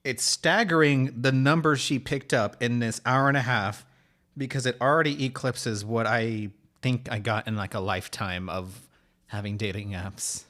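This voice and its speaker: A man's voice: medium pitch, fairly even intonation, normal speed, a bit bright. A man in his thirties co-hosting a podcast with irreverent, joking, sometimes profane casual banter. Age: 30s. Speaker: podcast co-host.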